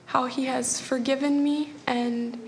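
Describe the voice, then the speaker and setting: A young woman gives a hesitant testimony in a church hall, speaking slowly in a flat, level tone with a gravelly, creaky edge. She pauses often with fillers. The room adds a long reverb tail over faint ambience. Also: high-pitched, a little hiss or hum. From Pennsylvania, USA and Tanzania. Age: young adult. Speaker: Bible school attendee giving testimony. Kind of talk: spoken testimony.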